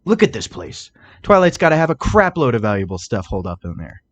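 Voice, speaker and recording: A man's voice: medium pitch, big pitch swings, a little fast. A man voicing a cocky, impatient unicorn stallion thief from a cartoon fan story, brash and slangy. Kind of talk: cartoon fan fiction character voice.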